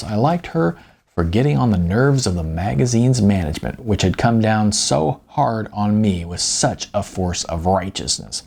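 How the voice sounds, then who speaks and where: A man with a gravelly voice, an author narrating his own audiobook in an informal way. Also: fairly low pitch, darkish tone.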